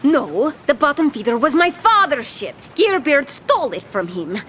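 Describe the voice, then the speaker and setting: A brash female pirate captain from a cartoon adventure. She is high and piercingly bright, with swooping, swaggering delivery and sardonic bite over background noise. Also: big pitch swings, normal speed. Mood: excited, greedy. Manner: theatrical, swaggering. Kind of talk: animated adventure acting.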